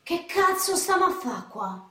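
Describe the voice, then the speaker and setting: A woman's voice: extremely high pitch, sing-song, unhurried, sharp tone, reverberant, exaggerated voice. An actress playing a restless, defiant young working-class woman with a Roman accent, blunt, slangy and needling, in a naturalistic radio drama.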